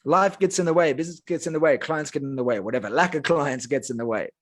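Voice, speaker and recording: A man with a South African accent, his first language Afrikaans, speaking as a life coach guest in a podcast interview with energetic, emphatic delivery. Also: on the high side, quick pace. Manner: energetic, emphatic motivational talk.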